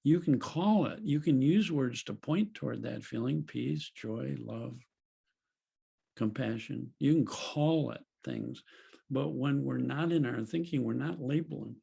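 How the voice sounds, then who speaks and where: Gravelly, slow-speaking man teaching gently. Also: medium pitch. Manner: gentle, unhurried, laughs softly. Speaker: spiritual teacher leading a group call. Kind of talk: reflective teaching talk.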